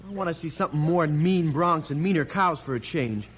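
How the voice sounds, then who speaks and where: An actor playing a restless, defiant teenage cowboy with folksy frontier talk in a 1950s radio western. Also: male voice, a little high, brisk, a bit bright, husky, muffled recording. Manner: folksy drawl-speak, headstrong. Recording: noisy vintage radio recording.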